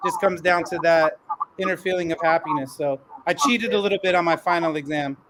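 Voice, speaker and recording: A man's voice: on the high side, a little fast. A man, a teacher on a panel, telling a personal anecdote conversationally. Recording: noisy online call.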